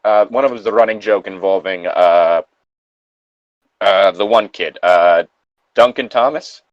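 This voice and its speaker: A man's voice: fairly low pitch, unhurried, no room sound, highs cut off. A man giving incredulous, opinionated commentary in a casual, hesitant way, sometimes reading aloud. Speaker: co-host reacting to fan fiction and its reviews.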